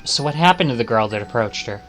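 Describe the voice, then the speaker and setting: Guy streamer reading visual novel text out loud, bright forceful voice, dramatic, faint music behind. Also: medium pitch, animated, fast.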